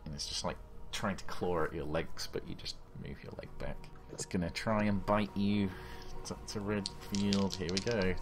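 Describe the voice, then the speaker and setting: Man, deep voice, game master, improvised tabletop narration, informal, sweary.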